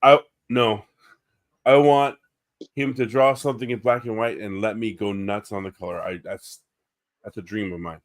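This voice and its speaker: A man co-hosting a casual art livestream, enthusiastic and quick to agree. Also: on the low side, steady tone. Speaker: co-host and colorist.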